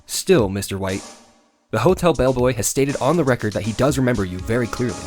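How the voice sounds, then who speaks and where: A man's voice: mid-range voice, animated, fairly quick, darkish tone, faint music behind, close to an everyday voice. A man voicing an eager young defense lawyer in a theatrical video game fan dub, dramatic, with wry, exasperated asides. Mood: probing.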